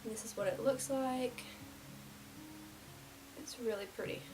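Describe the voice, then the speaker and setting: A young woman in her twenties, slightly nasal from a cold, chatting casually through a get-ready-with-me beauty vlog and dropping to soft half-whispers as she works. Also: a little high, fairly even intonation, a little slow, recorded from a distance, noisy background. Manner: casual and rambling, drops to near-whispers while applying makeup. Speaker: beauty vlogger. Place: small indoor room before a plain backdrop.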